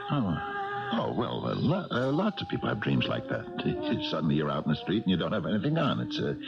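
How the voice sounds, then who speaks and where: Casting: homely, puzzled police lieutenant, very low gravelly voice, vintage radio play. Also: male voice, huge pitch swings, fairly quick, heavily acted. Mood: awkwardly reassuring. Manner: hesitant, homely, conversational. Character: easygoing, skeptical older policeman friend, soothing and puzzled. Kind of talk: 1970s radio drama acting. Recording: dull old radio recording, music behind.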